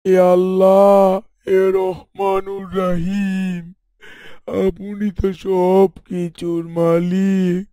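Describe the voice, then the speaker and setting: A man's voice: sits high, completely flat delivery, drawn-out words, mellow, dry recording. An actor playing a poor, devout, worried fisherman father in an Islamic story cartoon, pleading and sorrowful. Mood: pleading prayer. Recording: very noisy, birdsong and insects behind.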